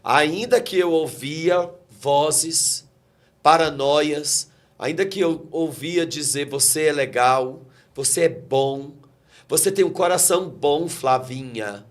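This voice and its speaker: A man's voice: on the high side, slow. Fervent man preaching his testimony. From Brazil. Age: 40s. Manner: fervent, preacherly, quotes scripture. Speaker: guest pastor. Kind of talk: emotional testimony in a talk interview.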